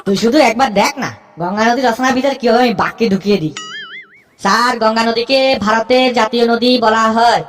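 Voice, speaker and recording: Woman voicing a cocky high-pitched cartoon schoolboy. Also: fairly quick, soft background music, natural voice. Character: cheeky schoolboy reciting essays with cocky confidence. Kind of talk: comic cartoon dialogue and rote recitation.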